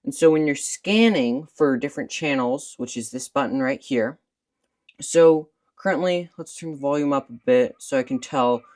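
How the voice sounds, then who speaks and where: A man's voice: medium pitch, normal speed, darkish tone. A man giving a casual hands-on demonstration of a radio, explaining each control in turn and pointing things out as he goes.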